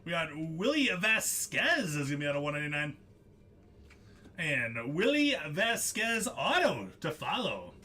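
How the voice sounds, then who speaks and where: A man's voice: fairly high pitch, very animated, measured pace, pushed and bright. A young man hosting a live trading-card break stream, chatting casually with viewers by name, laughing often as he reads out the cards he pulls.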